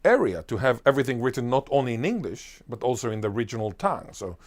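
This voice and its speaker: A man, a Hebrew speaker, answering as a linguist guest in an interview, emphatic and animated, full of lists and rhetorical questions. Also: medium pitch, quick pace. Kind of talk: enthusiastic explanatory interview answer.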